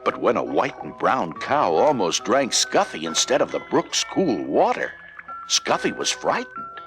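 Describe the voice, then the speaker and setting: A man's voice: lowish voice, huge pitch swings, a little slow. A man narrating a children's story from a script, with music playing behind him.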